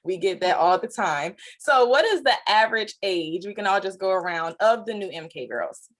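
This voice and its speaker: A woman's voice: medium pitch, expressive, normal speed. A woman in her twenties hosting a live group call, chatting in an upbeat way and speaking straight to the audience. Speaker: host.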